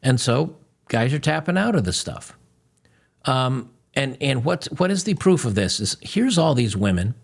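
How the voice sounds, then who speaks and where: Host type: gravel-voiced man, blunt and sneering, reacts to clips. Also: mid-range voice, unhurried. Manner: blunt, mocking, animated.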